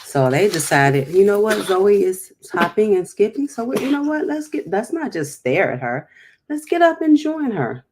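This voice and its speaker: A woman's voice: mid-range voice, big pitch swings, normal speed, darkish tone. A woman hosting a storytime, reading a picture book aloud with chatty, encouraging, emphatic asides.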